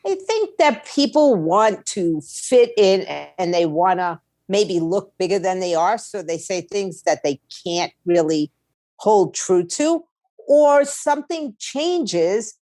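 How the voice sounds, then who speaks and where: A woman's voice: mid-range voice, animated, takes it slowly, dark tone, no echo. Candid woman interview guest, dry sound. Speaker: interview guest, indie label owner. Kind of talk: casual candid interview chat.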